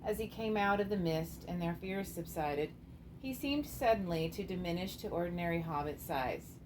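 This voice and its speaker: Low-pitched woman narrator, noisy recording. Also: lively intonation, moderate pace. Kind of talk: scripted storybook narration. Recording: clearly noisy amateur recording.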